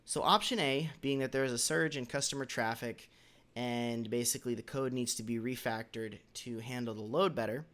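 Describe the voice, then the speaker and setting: A man with a hoarse voice, recovering from a cold, giving a casual, measured developer lesson as the host of a weekly question. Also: mid-range voice, fairly even intonation, fairly bright tone. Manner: measured, slightly halting, with occasional throat clearing. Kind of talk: casual instructional talk.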